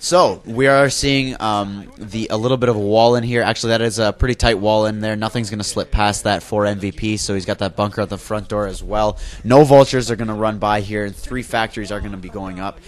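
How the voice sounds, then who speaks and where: A man's voice: on the low side, fairly even intonation, a little fast, few pauses. Nasal, congested man casting a game. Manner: loose, with many fillers and self-corrections. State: congested from a flu, nasal, coughing. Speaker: esports caster. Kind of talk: solo play-by-play game commentary.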